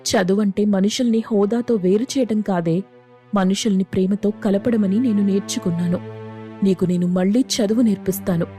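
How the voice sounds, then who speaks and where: A woman's voice: medium pitch, normal speed, dark tone, soft background music, lightly acted. A woman playing a devoted, principled village elder sister who became an officer, earnest and reassuring in a moral story. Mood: caring, firm. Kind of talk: dramatised moral story acting.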